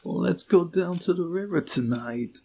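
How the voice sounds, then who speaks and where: A man's voice: high voice, animated, unhurried, vocal fry, a little mellow, voice pushed up, heavily acted. A man voicing a shy, eager, lovestruck young science nerd with a hesitant manner for a visual novel. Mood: nervous, hopeful.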